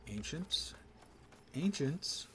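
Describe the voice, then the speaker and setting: A man's voice: a little high, very animated, extremely slow, a bit bright, a little hiss or hum. A man casually commentating his video game live as a streamer, talking to himself in a wry way.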